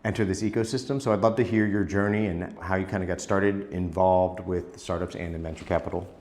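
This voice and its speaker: Deep-voiced male podcast host. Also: fairly even intonation, a little fast, a little mellow. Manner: upbeat, laughs easily, rambles into questions. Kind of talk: scripted intro, then casual interviewing.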